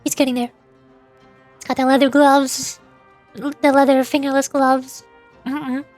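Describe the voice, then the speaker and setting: High-voiced woman streaming a game. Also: little pitch movement, measured pace, darkish tone, faint music behind. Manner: reads dialogue aloud in character with joking asides. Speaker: VTuber streamer. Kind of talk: playful game stream voice acting and commentary.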